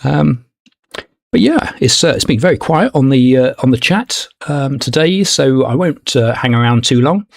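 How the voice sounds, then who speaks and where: A man hosting a livestream in casual unscripted chat, musing and halting as he goes. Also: mid-range voice, lively intonation, vocal fry, no room sound, studio quality. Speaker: host of a listening club livestream.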